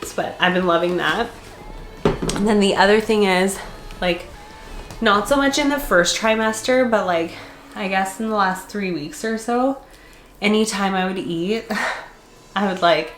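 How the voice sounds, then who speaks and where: A woman's voice: medium pitch, a little slow, pauses often, fairly bright tone, faint music behind. A congested woman vlogger chatting casually, laughing often and filling her speech with fillers. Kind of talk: casual chat. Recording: echoey room.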